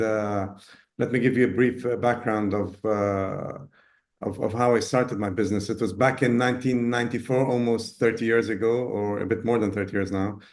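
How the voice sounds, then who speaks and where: A man from Ghana in his fifties, talking as an interview guest, pausing often with fillers and restarts. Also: medium pitch, darkish tone. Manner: hesitant, many fillers and restarts. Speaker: guest, business owner. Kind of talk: casual interview talk.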